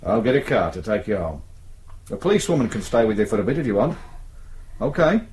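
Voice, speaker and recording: An actor playing a brisk, world-weary British detective sergeant in a radio drama, reassuring but patronising and colloquial. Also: male voice, on the low side, very animated, darkish tone. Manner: matter-of-fact, patronising, colloquial.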